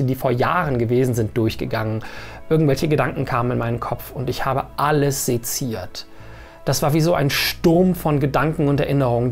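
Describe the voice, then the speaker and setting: Man, vlog voice-over narrator, gravelly creaky voice, reflective measured delivery, faint background music. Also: medium pitch. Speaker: vlogger.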